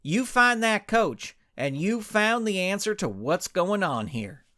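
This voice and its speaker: A man voicing a forceful, indignant cowboy superhero with a folksy drawl in a story. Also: sits high, very animated, takes it slowly, voice pushed up, heavily acted. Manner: forceful, shouting. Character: blustering indignant cowboy superhero, the league's leader.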